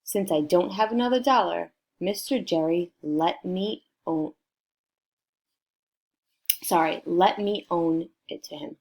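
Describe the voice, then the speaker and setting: A woman reading a picture book aloud to children in an expressive way, prompting her listeners with questions. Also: on the low side, takes it slowly, long pauses. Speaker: storybook reader for children.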